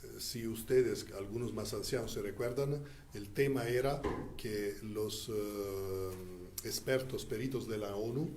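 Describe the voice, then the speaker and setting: A man who learned Spanish as a second language recounts his years as a Church diplomat in an interview, speaking deliberately with frequent pauses. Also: lowish voice, a little mellow, slight background noise. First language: not Spanish.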